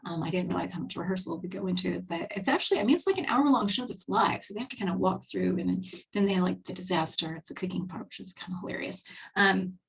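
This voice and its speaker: A husky-voiced Texan woman in her fifties chats through a relaxed online presentation, upbeat and full of fillers and restarts. Her voice sits a little away from the microphone, as on a video call. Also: fairly low pitch, fast, distant mic, poor audio. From Texas, USA. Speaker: webinar host and skincare presenter. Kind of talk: casual webinar presentation.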